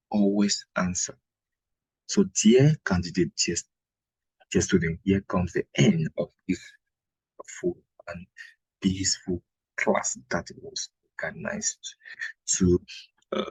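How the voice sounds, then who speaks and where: A man with a Cameroonian accent teaching an exam revision lesson in a didactic way, repeating phrases, with a husky, gravelly voice. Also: fairly low pitch, dry recording, low-quality recording. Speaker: history teacher.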